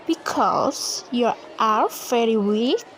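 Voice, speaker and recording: A woman with an Indonesian accent playing a two-faced, mocking high school girl bully in an amateur radio drama. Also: expressive, takes it slowly, fairly bright tone, a faint crowd, slight background noise.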